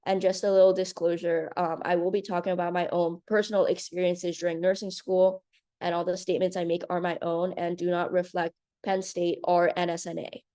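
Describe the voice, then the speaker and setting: Young woman from hong kong in her 20s presenting slides, kinda nervous, lots of ums, soft low-ish voice. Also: level tone, a little fast. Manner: earnest, nervous, with frequent ums. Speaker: nursing graduate presenter. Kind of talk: presentation over video call.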